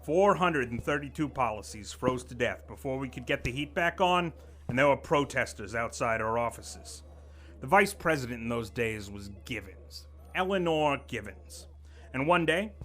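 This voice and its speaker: A man's voice: mid-range voice, animated, normal speed, bright, forceful tone. An actor playing a pompous, condescending office vice president who lectures his staff. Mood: lecturing. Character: pompous middle-aged male corporate boss, menacing and sly. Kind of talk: audio drama acting.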